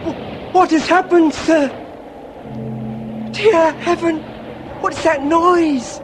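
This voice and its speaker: A woman's voice: extremely high pitch, fairly even intonation, very slow, over music, full character voice. An actress playing a frightened, genteel lady in a period radio drama, breathless and theatrical.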